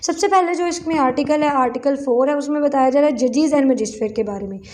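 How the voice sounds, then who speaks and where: A woman's voice: high-pitched, a little fast, a little mellow. A Pakistani woman giving an explanatory law lecture, reading passages aloud and rephrasing them to clarify in an instructional manner.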